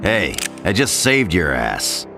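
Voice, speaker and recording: Very deep gravelly hardboiled tough guy. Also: huge pitch swings, takes it slowly, over loud music, full character voice. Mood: threatening, cool. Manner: blunt, tough. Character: gruff hardboiled male death-game fighter, menacing and terse. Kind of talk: video game cutscene acting.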